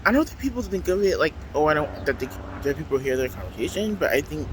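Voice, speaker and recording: A man chatting casually in a rambling vlog, talking loudly into a handheld phone outdoors at a transit stop. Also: on the high side, very animated, quick pace. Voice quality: loud voice. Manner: rambling, chatty, full of fillers. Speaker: vlogger. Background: faint city traffic noise.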